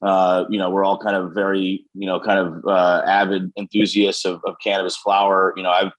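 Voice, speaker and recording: A man with an American accent and a low voice talks as a business co-founder being interviewed. He explains things conversationally, padding his points with "you know" and "kind of". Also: completely flat delivery, brisk, rough recording. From United States, Western New York. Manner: hedging, often says "you know" and "kind of".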